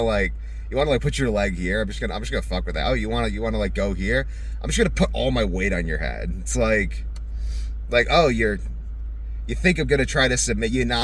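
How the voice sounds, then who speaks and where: Man, casual vlogger, deep voice, blunt joking delivery with swearing and acted-out asides; faint vehicle noise behind. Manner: blunt, profane, joking, acts out imagined dialogue. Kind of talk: casual rambling vlog monologue.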